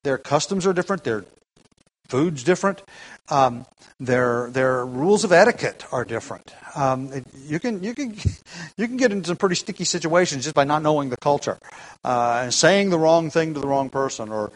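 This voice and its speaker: A man, a pastor, preaching a sermon in a halting way, with many restarts and light chuckles. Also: a little high, expressive, low-quality recording.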